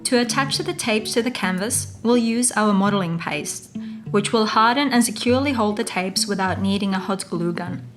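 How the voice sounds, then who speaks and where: A woman's voice: mid-range voice, normal speed. A woman narrating a scripted craft tutorial as its host, giving step-by-step instructions. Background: music.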